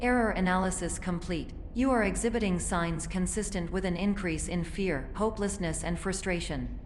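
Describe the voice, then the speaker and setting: A woman's voice: lowish voice, lightly acted. Echoing, clinical female AI announcer played by a woman. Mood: detached, clinical. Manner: detached, formal, measured. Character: calm clinical female AI protocol announcer in therapy program. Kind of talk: scripted game announcement. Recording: long hall reverb, background noise.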